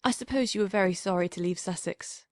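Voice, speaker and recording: A woman's voice: mid-range voice, quick pace, a little mellow, lightly acted. A woman reading an audiobook, voicing a gushing, chatty young woman with an effusive manner. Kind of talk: audiobook character voice.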